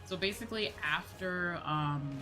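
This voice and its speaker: A young American woman livestreamer chatting casually, animated and sweary, acting out exchanges with exasperation. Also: lowish voice, a little slow, poor audio. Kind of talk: casual chat while gaming on stream. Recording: background music under the voice. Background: music.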